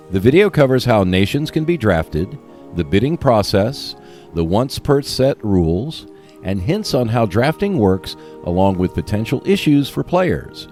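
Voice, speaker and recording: A man's voice: mid-range voice, darkish tone. A man hosting a solo podcast, delivering prepared announcements and commentary. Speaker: podcast host.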